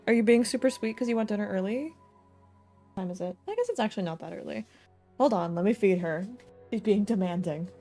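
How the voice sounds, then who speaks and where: A woman's voice: medium pitch, animated, brisk, mellow. A woman in her twenties chatting casually as she streams a video game, with music behind her. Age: 20s. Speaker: live streamer. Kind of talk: casual gaming stream chat.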